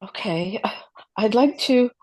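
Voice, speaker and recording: A woman's voice: on the high side, unhurried, a little mellow. A woman playing a frightened, desperate mother in a script read, hesitant and stammering. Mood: nervous, stammering. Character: frightened, desperate mother under a kidnapper's orders. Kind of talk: dramatic script table read.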